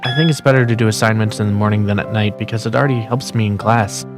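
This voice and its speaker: A man voicing a studious, modest teenage boy in a manga dub, calm, earnest and self-deprecating. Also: on the low side, quick pace, over music, close to an everyday voice. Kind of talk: fan manga dub with narration.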